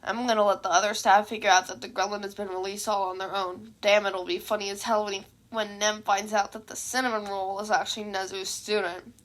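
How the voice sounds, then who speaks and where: A woman voicing a lazy, deadpan male teacher with a drawl, in a fan fiction audiobook. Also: mid-range voice, fairly quick, hardly any pauses, pitched below the performer's own voice, lightly acted, poor audio. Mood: dry amusement.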